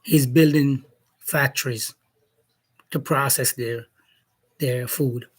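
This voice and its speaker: A man from Jamaica delivers a spoken opinion piece at a very unhurried pace. His voice is husky and a little rough. He builds his case deliberately and pushes pointed questions at the people he criticizes. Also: mid-range voice, fairly even intonation. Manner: slow, deliberate, challenging questions. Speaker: commentator. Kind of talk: opinion monologue.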